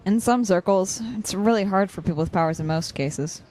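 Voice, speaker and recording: Woman acting a low-key young student. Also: a little fast, a little mellow, natural voice. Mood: curious, tentative. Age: young adult. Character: young female college student, reserved, curious superpowered investigator. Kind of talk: naturalistic audio drama dialogue.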